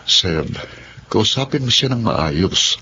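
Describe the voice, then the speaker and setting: An actor playing a calm, supportive friend in a Tagalog radio drama, speaking in a measured, concerned manner. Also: male voice, on the low side, slight background noise, heavily acted. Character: calm, supportive male friend giving advice. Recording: dull lo-fi sound, faint music.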